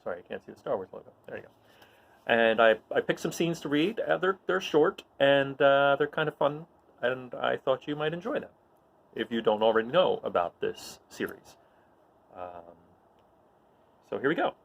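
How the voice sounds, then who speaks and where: A man casually introducing readings and setting scenes in a halting, conversational way. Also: mid-range voice, lively intonation, creaky, lo-fi sound. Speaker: host, storyteller.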